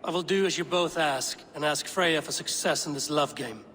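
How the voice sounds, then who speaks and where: An actor playing a stalwart Viking warrior in a video game, plain-spoken, terse and firm. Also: male voice, a little high, moderate pace, fairly bright tone, slight background noise, close to an everyday voice. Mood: resolute. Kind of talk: video game cutscene acting. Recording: prominent music behind the voice.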